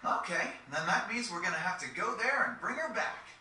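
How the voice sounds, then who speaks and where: A man's voice: high voice, expressive, fairly quick, pushed and bright, full character voice. A man voicing a cocky, plain-spoken teenage boy adventurer in a video game, casual and slangy. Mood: decisive, casual. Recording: very echoey, distant game capture with noise.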